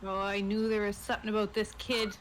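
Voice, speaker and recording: A woman's voice: mid-range voice, level tone, a little fast. A woman talking casually as a player in a tabletop roleplaying game. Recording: noticeable background noise.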